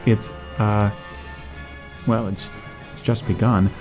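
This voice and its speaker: A man acting a smug, calculating villain in an audio drama, taunting in drawn-out, halting phrases. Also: fairly low pitch, flat delivery, a little mellow, soft background music. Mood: gloating. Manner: hesitant, drawn-out phrasing with pauses.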